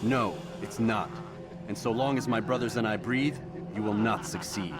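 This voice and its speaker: A stern young assassin speaks in curt, accusing lines, played by an actor. His voice sits a little low. A loud game score runs beneath every word. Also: moderate pace, over loud music, close to an everyday voice. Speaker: assassin protagonist. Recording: game score under dialogue.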